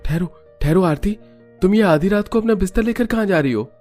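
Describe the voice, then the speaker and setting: A man's voice: high-pitched, lively intonation, fairly quick, a little mellow, faint music behind. Man playing an easygoing young husband. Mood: puzzled, concerned.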